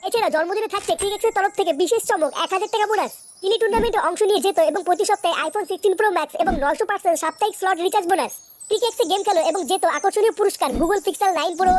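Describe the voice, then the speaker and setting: An advertisement announcer reading a promotional sales pitch straight to viewers. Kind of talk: promotional ad read.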